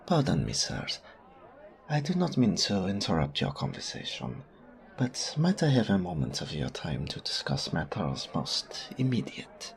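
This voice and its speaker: A haughty male servant of a noble house, acted by a man in an audio drama. His voice is very deep with a heavy creak, swooping through formal, over-polite phrases with pompous disdain. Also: huge pitch swings, a little slow, full character voice. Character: stuffy, haughty male agent of a baron. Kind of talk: audio roleplay drama acting.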